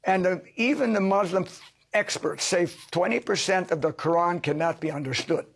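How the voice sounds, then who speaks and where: A man's voice: high voice, normal speed, gravelly, husky. An older man answering interview questions as a sociologist, emphatic and lecturing, asking himself rhetorical questions.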